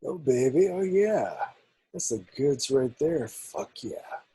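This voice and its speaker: A cocky, crude college jock, played by a man in a screenplay table read. He is young, mid-pitched and a bit husky. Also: lively intonation, slow pace, dark tone. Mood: leering.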